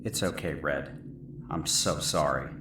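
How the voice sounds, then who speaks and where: A man playing a gentle, mysterious collector of stories, speaking in a soothing, measured and sympathetic way in an audio drama. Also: rumbling low. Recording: roomy echo, clear background noise.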